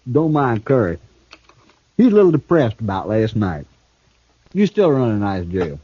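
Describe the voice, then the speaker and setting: An actor playing a cool, smart escaped killer and thief in a 1950s radio drama, talking with a smooth, taunting ease. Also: male voice, medium pitch, sing-song, slow pace, long pauses, full character voice. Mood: smug, taunting. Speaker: outlaw prisoner. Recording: dull old radio broadcast recording.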